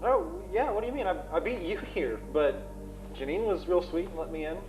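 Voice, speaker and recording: A man's voice: sits high, brisk, music in the background, lightly acted, low-quality recording. An actor playing a casual male talk show guest in an analog horror web series. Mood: casual, puzzled. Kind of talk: acted casual interview chat.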